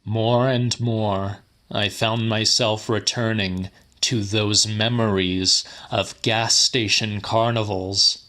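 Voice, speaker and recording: A man giving a deliberate, theatrical dramatic reading of literary weird fiction as an audiobook narrator. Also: fairly low pitch, steady tone, bright, forceful tone.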